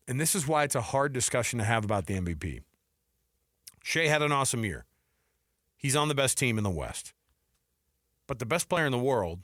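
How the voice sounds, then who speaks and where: Bright-voiced male sports radio host. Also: medium pitch, no echo, studio quality. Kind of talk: animated sports banter. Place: radio studio. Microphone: close studio microphone.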